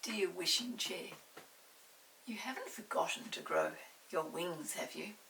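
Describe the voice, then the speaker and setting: A woman's voice: lowish voice, expressive, unhurried, gravelly, sharp tone, full character voice. A woman voicing an eager, earnest young child in a storybook, exclaiming in a raised voice. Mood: eager, pleading. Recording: distant mic with hiss.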